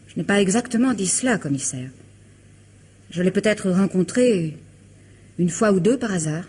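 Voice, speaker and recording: A woman's voice: medium pitch, expressive, normal speed, darkish tone, slightly rough. An actress playing a distressed, evasive married woman under questioning in a radio mystery drama, melodramatic and defensive.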